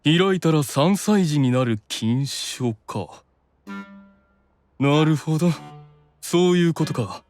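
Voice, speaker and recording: Casting: man for stern, composed elder demon brother, lightish pitch, lively intonation, authoritative anime drama delivery. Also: faint music behind. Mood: analytical, irritated. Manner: measured, authoritative, exasperated at times. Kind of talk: anime audio drama acting.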